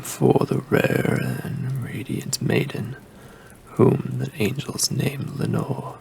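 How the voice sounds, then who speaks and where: A man reading a poem as an ASMR creator, with a deep, gravelly voice and a slow, hushed delivery that slips into whispers. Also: slight background noise. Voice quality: deep, gravelly voice with heavy fry. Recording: faint rain ambience behind the voice. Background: rain ambience.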